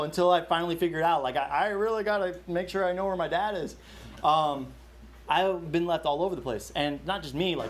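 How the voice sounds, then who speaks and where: A man's voice: fairly high pitch, a little hiss or hum. Chatty young man leading a Bible study. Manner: chatty, many fillers and restarts. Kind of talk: casual sermon storytelling.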